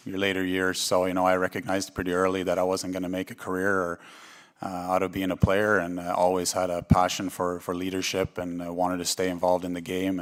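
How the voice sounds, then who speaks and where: Deep, flat-voiced Swedish-born coach. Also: male voice. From Sweden. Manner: measured, repeats words while thinking. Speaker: head coach. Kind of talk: press conference answers.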